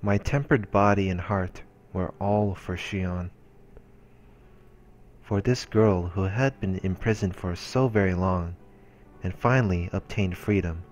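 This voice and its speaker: A man's voice: deep voice, unhurried, a little mellow, slight background noise. A man reading visual novel text aloud as a let's play narrator, in a dramatic storytelling style. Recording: background music behind the voice.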